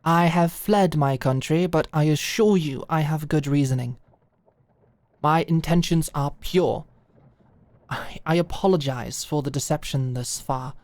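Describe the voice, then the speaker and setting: A woman's voice: low voice, unhurried, darkish tone, dry recording. A woman playing an earnest, polite young nobleman held captive, speaking in a courtly, hesitant, deferential way in a medieval roleplay drama. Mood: pleading, earnest.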